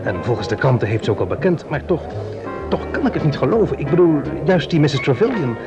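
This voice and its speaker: A man playing a polite, hesitant, apologetic gentleman in a vintage Dutch radio drama. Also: a little high, very animated, fast. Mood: disbelieving. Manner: hesitant, repeats words. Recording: muffled old radio recording, music behind.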